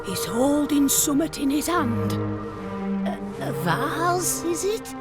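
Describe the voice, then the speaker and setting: A woman's voice: high voice, big pitch swings, slow pace, over loud music, full character voice. A woman voicing a spirited, blunt and cheeky village medicine woman in a video game, with a Northern English accent and a theatrical, folksy delivery. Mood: mystical, searching.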